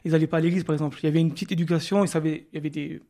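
A man in his thirties from Moselle, a radio guest telling his life story with many pauses and restarts. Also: on the high side, steady tone, warm tone. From Moselle, France. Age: 30s. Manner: hesitant, many fillers and restarts.

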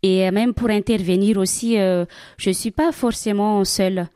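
A woman's voice: medium pitch, fairly even intonation, a little slow, warm tone, dry recording, clean studio sound. A woman from Madagascar whose first language is Malagasy, a religious sister answering interview questions in a hesitant way with many fillers.

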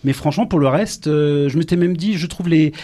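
A man's voice: a little high, fairly quick. A man hosting a film review show, chatting casually, introducing films and asking his guests questions. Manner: lively, guides the talk, asks guests questions. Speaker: radio film show host.

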